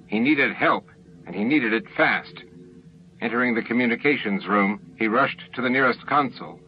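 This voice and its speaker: A man's voice: medium pitch, moderate pace, muffled recording, slight background noise. A man reading a novel as an audiobook narrator, in dramatic scripted narration. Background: faint music.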